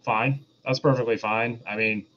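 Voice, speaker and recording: A man's voice: medium pitch, fairly even intonation, moderate pace, pushed and bright, a little hiss or hum. Man on a dull lo-fi mic. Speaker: podcast co-host reviewing films. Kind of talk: casual review discussion. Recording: dull, lo-fi voice audio.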